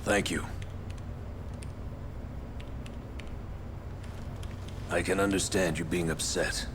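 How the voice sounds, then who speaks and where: An actor voicing a serious, remorseful, burdened soldier in a video game, speaking earnestly. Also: male voice, deep voice, steady tone, moderate pace, noisy background, natural voice. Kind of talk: scripted video game acting.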